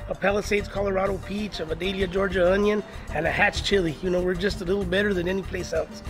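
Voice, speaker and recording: A folksy American man in his forties chats casually in an interview. His voice is quite high, and music plays clearly behind him outdoors. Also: steady tone, fast. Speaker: roadside chile vendor interviewed. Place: open-sided roadside stand, outdoors. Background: clear background music, outdoor sounds.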